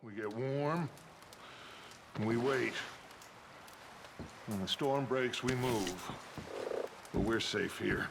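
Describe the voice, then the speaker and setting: An actor playing a calm, reassuring outlaw in a western game, speaking in a measured way. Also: male voice, medium pitch, vocal fry. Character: calm, reassuring male outlaw biding time. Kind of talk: subdued western game acting. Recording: heavy background noise.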